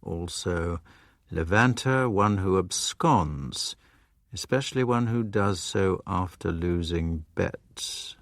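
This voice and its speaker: A man reading dictionary definitions from a script in a formal, deliberate manner. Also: low-pitched, lively intonation, no echo, clean studio sound.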